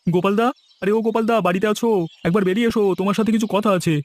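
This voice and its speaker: A man's voice: high-pitched, fairly even intonation, racing, natural voice. An actor voicing a humble, anxious and helpful village man in a cartoon. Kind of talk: cartoon voice acting.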